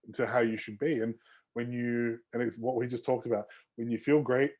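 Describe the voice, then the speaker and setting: Rambling mellow-voiced man as interview guest. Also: mid-range voice, level tone, fairly quick. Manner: rambling, many fillers and restarts, friendly. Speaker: osteopath guest. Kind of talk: casual interview chat.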